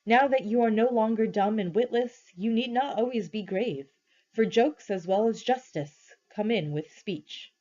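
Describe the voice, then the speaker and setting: A woman voicing a wise, grave male lion who speaks slowly and solemnly in a storybook reading. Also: fairly high pitch, mellow, lo-fi sound, voice pushed up. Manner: slow, solemn pronouncements.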